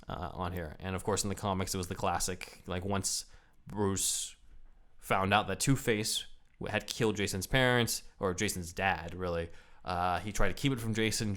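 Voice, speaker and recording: A man's voice: deep voice, fairly bright tone, smooth. A man co-hosting a casual podcast chat, laid-back and dotted with filler words. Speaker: podcast co-host.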